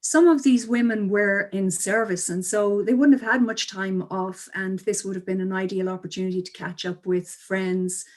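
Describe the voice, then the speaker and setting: Articulate woman, measured interview guest. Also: mid-range voice, fairly even intonation, darkish tone. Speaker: interview guest, cultural speaker. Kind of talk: interview answers, explanatory.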